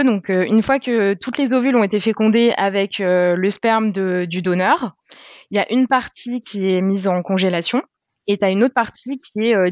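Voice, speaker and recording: A young woman guest on a podcast, talking through a personal subject at an even, patient pace and pausing often with fillers. Her voice sits at a middle pitch and the recording is dry and a bit muffled. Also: no echo. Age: 20s. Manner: calm, explains step by step with many hesitations. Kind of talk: casual explanatory chat.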